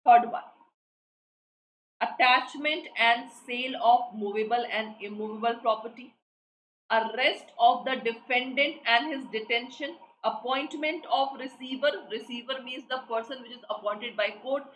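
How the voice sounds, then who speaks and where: A woman's voice: a little high, normal speed, fairly bright tone. A woman with an Indian English accent teaching a live online exam class, repeating questions and prompting students for answers. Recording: echoey room with faint noise.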